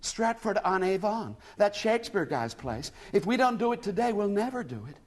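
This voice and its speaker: A man voicing a weepy, gushing young American woman tourist with a high, tearful voice and an American accent. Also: lively intonation, moderate pace. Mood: pleading. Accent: American put on.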